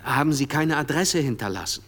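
A man's voice: a little high, lively intonation, brisk, fairly bright tone. An actor playing an earnest, polite and loyal young man in radio play dialogue. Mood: insistent.

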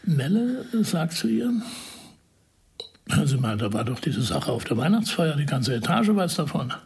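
Radio crime drama: shrewd male investigator, persuasive and deliberate, rough husky tone with fry. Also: on the high side, sing-song, darkish tone, heavily acted. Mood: deliberate, explaining. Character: shrewd male investigator explaining a dismissal intrigue to a friend.

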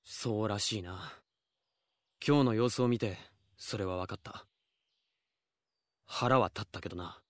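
An actor playing a proud, curt, jealous husband of rank in a Japanese audio drama. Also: male voice, on the low side, a little slow, long pauses, no room sound, studio quality, lightly acted. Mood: grudging, jealous. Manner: curt, brusque, sulky.

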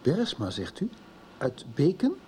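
An actor playing a polite, uneasy company owner in a radio drama, hesitant and courteous. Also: male voice, on the high side, huge pitch swings, a little slow, a little mellow, a little hiss or hum. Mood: polite, wary. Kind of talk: 1990s Dutch radio drama acting.

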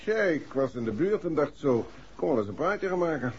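A man's voice: fairly high pitch, sing-song, brisk, a little hiss or hum. A man acting a polite, weary, matter-of-fact police inspector in a 1960s radio drama, explaining things patiently. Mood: polite, businesslike. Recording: muffled old 1960s radio recording.

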